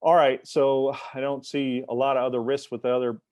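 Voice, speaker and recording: Halting man giving a dry-sounding talk. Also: medium pitch, flat delivery, darkish tone, no echo. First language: English. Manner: halting, many fillers and restarts. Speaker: expert speaker in a webinar. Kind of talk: technical presentation.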